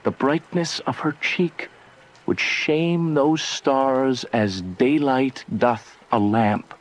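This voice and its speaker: An actor playing a lovestruck young man in Shakespearean verse, speaking with tender, rapturous and measured delivery. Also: mid-range voice, natural voice, poor audio. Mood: yearning wonder. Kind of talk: slow dramatic verse acting.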